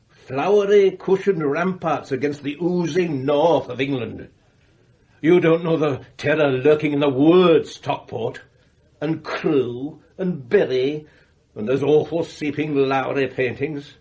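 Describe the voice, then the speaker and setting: A man's voice: fairly high pitch, darkish tone, muffled recording. An actor playing a wealthy middle-aged magnate in a radio play, savouring his words in rhapsodic, declamatory speeches. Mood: rhapsodic, haunted. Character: wealthy theatrical male magnate, morbidly eloquent about his grim past. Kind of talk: radio drama acting.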